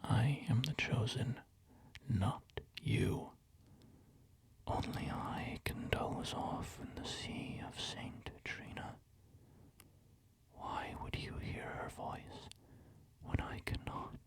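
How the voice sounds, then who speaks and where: A man in a slow, hushed whisper, voicing a jealous male devotee who dreams of his saint's poison. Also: medium pitch. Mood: indignant.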